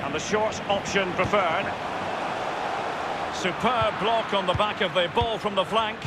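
High-voiced football commentator. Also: male voice. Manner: brisk, names players as play unfolds. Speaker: play-by-play commentator. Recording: game audio with loud crowd noise.

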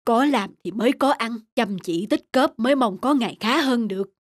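A woman voicing a poor, hardworking elderly village mother who nags and scolds in an animated folk tale. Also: a little high, moderate pace, no room sound, clean studio sound. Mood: scolding. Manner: nagging, scolding. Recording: background music under the voice.

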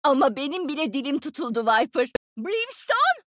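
High-pitched, cocky young tech genius. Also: female voice, normal speed, no room sound, studio quality. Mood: animated. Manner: smug, teasing, expressive. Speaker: video game character. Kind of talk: video game voice lines.

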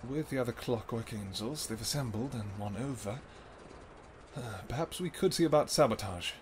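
A man voicing an ancient, protective vampire king in an audio roleplay, who shouts urgent commands and then turns to low, tender murmuring. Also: normal speed, slight background noise, lightly acted. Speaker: voice actor.

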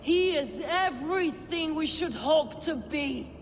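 An actress voicing a zealous female villain in a video game, speaking in a measured, cryptic, taunting way. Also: high-pitched, slight background noise. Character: cold zealous female villain, order leader. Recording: game audio with loud score.

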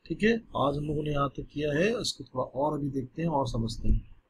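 A male teacher explaining a social studies lesson in a relaxed, conversational way, mixing in English phrases. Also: fairly high pitch, brisk, a little hiss or hum.

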